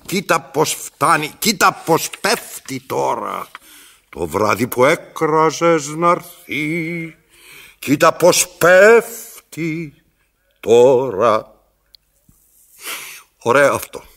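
Gravelly, theatrical bitter old man. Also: mid-range voice, sharp tone, full character voice. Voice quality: raspy, gravelly. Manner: declamatory, self-narrating, broken into short pronouncements. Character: bitter, weary old man, theatrical tyrant at life's end. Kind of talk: radio theatre acting, dramatic monologue. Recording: archival radio drama recording.

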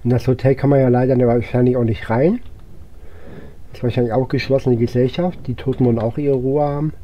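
A man's voice: mid-range voice, steady tone, fairly quick, warm tone, dull audio. A man giving casual let's play commentary as host, musing aloud to himself as he goes. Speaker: gaming channel host.

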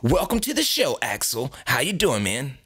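A man's voice: mid-range voice, very animated, normal speed, sharp tone, husky. A man hosting a comedic talk radio show, introducing and questioning guests.

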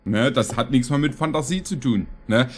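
A man in his thirties with a medium-pitched voice comments casually as a streamer. He rambles and jokes with a tongue-in-cheek tone. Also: moderate pace. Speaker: streamer reacting to videos. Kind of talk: casual joking reaction commentary. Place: indoor streaming room.

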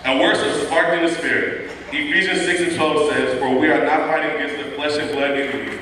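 A young man narrates a church skit in a steady, storytelling read-aloud manner. His voice carries through a large sanctuary with long, booming reverb. He sounds distant, picked up from across the hall with faint room noise. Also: brisk. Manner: steady storytelling read-aloud, voicing quoted lines plainly. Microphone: stand mic at pulpit, heard from far off. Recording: echoey church hall from far off.

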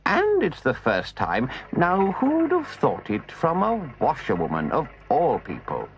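A man reading a story aloud and voicing a polite, hearty upper-class gentleman motorist. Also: on the high side, wildly swooping pitch, a little slow, muffled recording, slight background noise, heavily acted.

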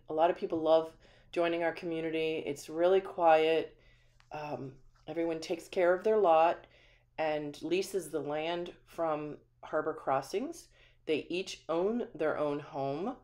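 A woman's voice: low-pitched, a little mellow. A woman managing a manufactured home community, giving a friendly, chatty walkthrough of a renovated house with frequent ums. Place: empty small house, indoors, then voice-over.

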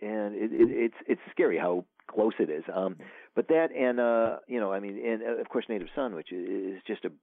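A very deep-voiced man speaks over a thin, phone-like line with a dry, close sound. He is an author chatting as a guest, wandering through his thoughts with lots of fillers and false starts. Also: moderate pace, gravelly, dry recording. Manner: rambling, many fillers and restarts. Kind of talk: casual interview talk.

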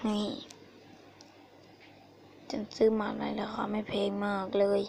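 A woman improvising a story with acted character lines, speaking haltingly and repeating phrases, on an amateur phone recording. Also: mid-range voice, completely flat delivery, creaky, darkish tone, slight background noise.

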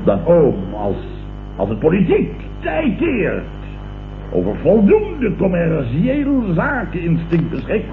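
A man's voice: high voice, huge pitch swings, slow pace, faint music behind, exaggerated voice. An actor playing a vain, pompous middle-aged businessman who blusters and repeats himself in an old radio comedy. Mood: condescending. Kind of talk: 1970s Dutch radio comedy acting. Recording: old tinny, noisy, echoey radio recording.

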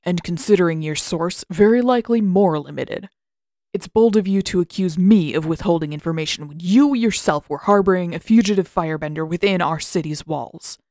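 A woman's voice: fairly low pitch, brisk, darkish tone, no echo, clean studio sound, lightly acted. A woman voicing a stern old male master, cold, disapproving and condescending, in a storybook reading.

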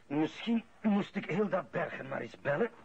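A man's voice: on the high side, animated, moderate pace, gravelly, slight background noise. An actor playing an older, pragmatic father giving folksy advice in a radio play. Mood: calm, reasoning. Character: older father, pragmatic seasoned retired office chief. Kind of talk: Dutch-language radio drama acting. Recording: muffled old radio recording.

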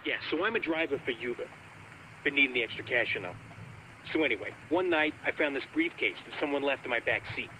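A man's voice: mid-range voice, animated, fast, sharp tone, slight background noise, low-quality recording. A man playing a casual but creepily fascinated cab driver calling a radio show in a video game. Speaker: radio caller.

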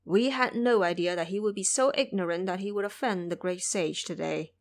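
Woman acting a deliberate, deferential old-world earth god. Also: medium pitch, lightly acted. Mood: solemn, explaining. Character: deferential, slow-spoken male local earth and mountain gods. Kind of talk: audio drama character acting.

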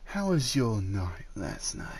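A man playing a male dragon in human form, an eager, possessive suitor talking softly and haltingly to the listener in a roleplay audio drama. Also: lowish voice, sing-song, slight background noise, heavily acted. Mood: tender, apologetic.

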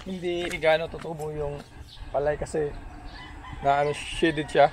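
A man's voice: a little high, flat delivery, measured pace, slight background noise. A man showing his farmland and explaining it in casual chat while walking outdoors, heard away from the camera mic. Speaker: farm owner showing his land.

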